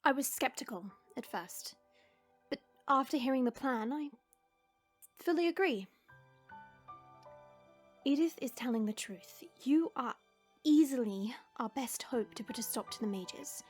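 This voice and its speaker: Proud, aloof female ally played by a woman, highish voice, very unhurried, for fantasy roleplay. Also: lively intonation, soft background music. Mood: grudging concern. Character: proud, guarded young woman, reluctantly caring fantasy ally. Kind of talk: fantasy audio roleplay acting.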